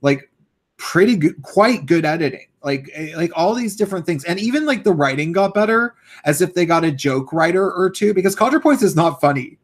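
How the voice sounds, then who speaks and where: Young man, hoarse, rambling streamer monologue, a bit higher-pitched than most men. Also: expressive, a little fast. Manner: rambling, full of fillers and restarts. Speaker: YouTuber live-streaming. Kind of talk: casual live-stream rant.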